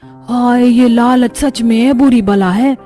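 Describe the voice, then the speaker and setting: A woman's voice: on the high side, slow, dark tone. A woman voicing a dismayed, gossiping village woman in a cartoon story, lamenting with disapproval. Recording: music behind the voice.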